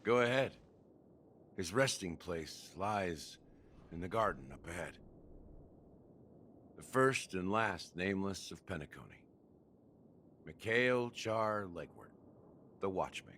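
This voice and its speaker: A world-weary, sardonic security officer from a video game. His voice sits low and swings widely in theatrical, cynical phrases. Faint noise sits behind him. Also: lively intonation, takes it slowly, long pauses, a bit bright, exaggerated voice. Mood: solemn.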